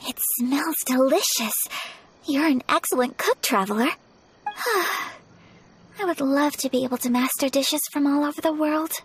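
An actress playing a shy, courteous young noblewoman in a video game, soft-spoken and formal. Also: high voice, animated, a little slow, slight background noise. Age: young adult. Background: game music.

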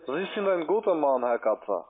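A man with a foreign accent working as a call-centre agent, speaking in halting, choppy phrases. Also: fairly high pitch, big pitch swings. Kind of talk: halting sales phone call. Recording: tinny narrow-band phone line.

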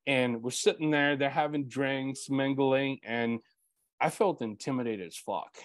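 A man's voice: mid-range voice, a little slow, dry recording. A man in his forties with a Bulgarian mother tongue, hosting an interview and telling his story earnestly, full of "you know" fillers, over earbuds on a video call. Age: 40s. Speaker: podcast host.

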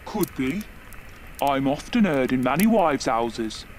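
A man's voice: mid-range voice, expressive, takes it slowly. A man playing a menacing, lecherous old military ghost in an audio horror drama, pompous and theatrical. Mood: pompous, brusque. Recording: heavy noise behind the voice.

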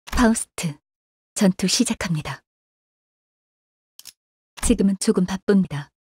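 An actress playing a composed, analytical young female agent in a video game, speaking formally and calmly in a soft, breathy voice. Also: mid-range voice, measured pace. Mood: composed, detached. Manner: calm, detached, formal, refers to herself by name. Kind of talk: scripted game character voice lines.

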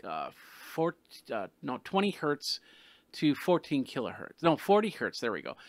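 A middle-aged man hosting a casual product review, explaining things in a halting way with restarted phrases, close on a desktop gooseneck microphone. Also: medium pitch, a bit bright, no echo. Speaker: tech reviewer, host. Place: small studio room with some sound treatment. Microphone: desktop gooseneck condenser microphone, close.

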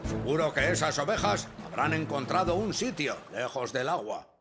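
A man's voice: a little high, sing-song, moderate pace. A man voicing a pompous, flustered fire station chief in a children's cartoon dub, with music behind. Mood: authoritative. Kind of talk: animated children's cartoon dubbing.

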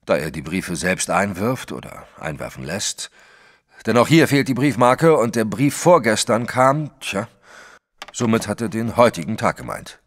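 An actor playing a wary, plain-spoken private detective in a German audio drama, firm and sceptical. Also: male voice, on the low side, big pitch swings, a little slow, exaggerated voice.

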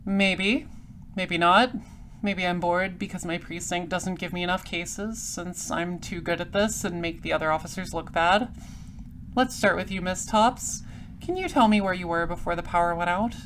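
An actress playing a sardonic, self-assured space detective and narrator, with a dry, businesslike delivery. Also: female voice, fairly low pitch, steady tone, moderate pace, a bit bright, a little hiss or hum, natural voice. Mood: wry. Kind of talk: audio drama acting and first-person narration.

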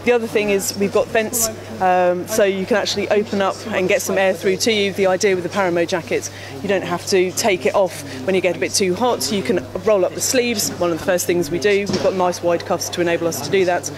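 Low-voiced woman at a busy trade show. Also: fairly even intonation, brisk, hardly any pauses. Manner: explanatory, many fillers and restarts. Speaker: company representative. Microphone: handheld mic held by interviewer. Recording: crowd chatter behind.

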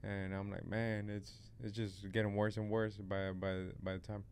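A young man hosting a podcast in a casual, laid-back chat, talking into a studio mic on a boom arm. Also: level tone, moderate pace, darkish tone, smooth. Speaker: podcast host. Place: podcast studio with neon sign. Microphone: studio mic on boom arm, headphones.

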